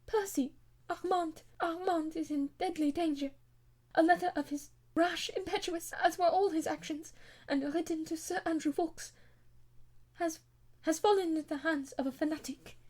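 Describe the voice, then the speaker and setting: Woman reader as distressed young noblewoman, high and faltering. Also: fairly even intonation, normal speed, darkish tone. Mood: urgent. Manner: pleading, faltering. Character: distressed young noblewoman pleading with her husband.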